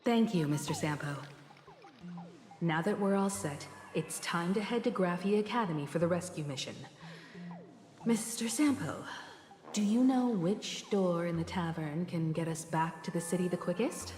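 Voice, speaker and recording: An actress playing a composed, thoughtful young woman adventurer in a video game, speaking in a measured, explanatory way. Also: lowish voice, darkish tone, lightly acted. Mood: polite, purposeful. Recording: echoey voice over music.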